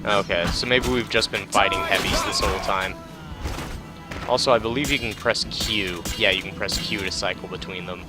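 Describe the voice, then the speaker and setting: A man's voice: lowish voice, fairly even intonation, moderate pace. A man doing casual, laid-back game commentary, thinking aloud and reading tutorial text. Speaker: let's play host. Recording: game music behind. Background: game music.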